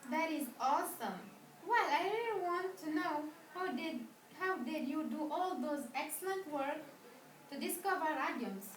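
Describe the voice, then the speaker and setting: A woman plays an admiring host of an amateur talk show. Her voice is high, and her manner is formal and effusive. She sounds distant in an echoey room with faint noise. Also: expressive, unhurried. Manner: formal, effusive, non-native phrasing.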